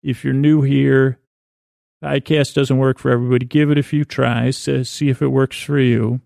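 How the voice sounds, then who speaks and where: A man with a creaky, gravelly voice hosts a bedtime podcast, rambling haltingly and interrupting himself as he digresses. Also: mid-range voice, little pitch movement, warm tone, no room sound, clean studio sound. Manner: halting, digressive, with repeated stumbles and self-interruptions. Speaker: podcast host.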